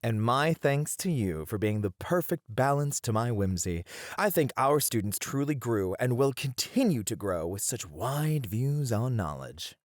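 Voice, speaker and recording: A man playing a passionate, playful college teacher with dramatic, expressive phrasing in an ASMR roleplay. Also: medium pitch, unhurried, no room sound, studio quality. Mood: warm, encouraging. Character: flirty, theatrical, passionate male college teacher.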